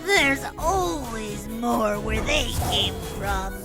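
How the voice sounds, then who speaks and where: A woman's voice: a little high, animated, very slow, over loud music. A woman voicing a sly, taunting fairy villainess in a comic dub, drawling with mock politeness and sweet menace. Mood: mocking, playful.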